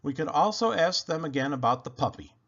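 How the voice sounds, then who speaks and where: Animated man reading a children's book aloud. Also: on the high side, brisk. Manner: animated, playful emphasis. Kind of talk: expressive read-aloud of a children's picture book. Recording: dull, lo-fi sound.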